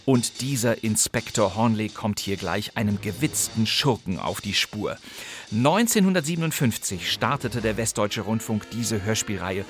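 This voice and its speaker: A man's voice: lowish voice, normal speed, faint music behind. A man presenting a scripted but conversational introduction as a podcast host, playfully addressing his listeners directly.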